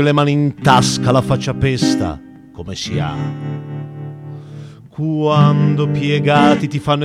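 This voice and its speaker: A man performs a storytelling ballad, half spoken and half sung, as a singer-guitarist. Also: a little high. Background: prominent acoustic guitar.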